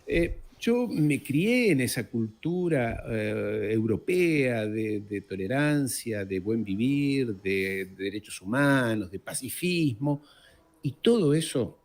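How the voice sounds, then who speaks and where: Middle aged guy talking politics in an interview, really lively pitch, hammers on key words n repeats them. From born in Uruguay, raised in France. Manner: emphatic, slows to stress listed words, repeats for emphasis. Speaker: interview guest, economist. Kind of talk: animated conversational political commentary.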